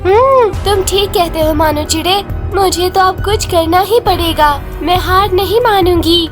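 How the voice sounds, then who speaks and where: Woman voicing a very high-pitched mother bird. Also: a little slow. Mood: determined. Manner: emphatic, exclamatory. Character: brave, scolding mother bird. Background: music.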